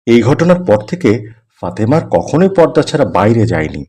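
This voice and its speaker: A man's voice: medium pitch, big pitch swings, brisk, darkish tone. A man narrating a scripted moral story as its storyteller.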